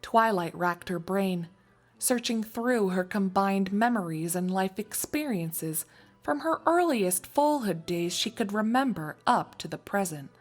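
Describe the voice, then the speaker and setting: A woman reading scripted story narration in an expressive, wry storytelling manner. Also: medium pitch, measured pace, soft background music. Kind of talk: scripted fan fiction narration.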